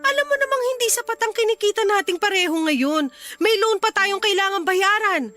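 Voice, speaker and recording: A woman playing an expressive young wife and mother in a radio drama, emphatic and full of pointed questions. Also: very high voice, brisk, exaggerated voice. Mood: exasperated, reproachful. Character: exasperated young wife and mother, teasing then reproachful. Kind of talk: radio drama acting in dialogue. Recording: music under the voice. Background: background music.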